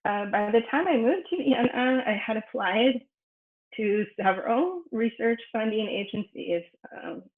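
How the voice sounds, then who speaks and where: A woman researcher whose first language is Spanish, giving a personal academic presentation with many pauses and fillers. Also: on the high side, expressive. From United States. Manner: thoughtful, frequent fillers and restarts. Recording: echoey room over a phone-like line.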